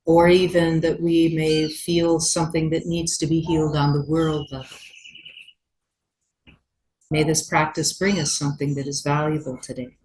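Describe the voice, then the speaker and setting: A woman yoga teacher leading a guided meditation in a gentle, unhurried manner with long pauses. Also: sits low, poor audio.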